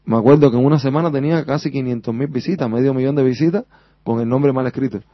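A man from Cuba speaks with a strong Havana accent, rambling freely and tossing in "sabes?" as he tells his story. His voice sits at an ordinary pitch. The sound is dry and a little dull, as in a radio studio. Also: quick pace, no echo. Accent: Havana Cuban Spanish. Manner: talkative, rambling, keeps asking "sabes?". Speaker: singer, interview guest. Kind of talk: radio interview.

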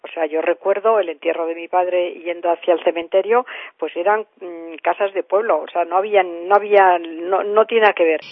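An older woman in her seventies speaks with a Madrid Spanish accent through a narrow, phone-like radio sound. Her voice sits fairly low for a woman. She reminisces as an interview guest, rambling and repeating words for emphasis. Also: steady tone, brisk, no room sound. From Madrid, Spain. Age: 70s. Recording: thin telephone-like radio sound, dry.

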